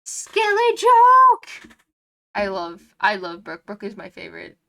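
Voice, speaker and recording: A woman's voice: fairly low pitch, level tone, brisk, gravelly, a bit bright. A woman streaming a game with casual live commentary, chatty, gushing and self-deprecating. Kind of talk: casual live commentary while reading a visual novel.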